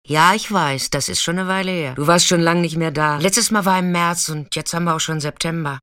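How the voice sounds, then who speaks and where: A woman's voice: on the low side, fairly quick, a bit bright, smooth, dry recording, clean studio sound, natural voice. An actress playing a chatty, caring, streetwise female sex worker in an intimate, colloquial radio drama monologue. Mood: chatty, familiar.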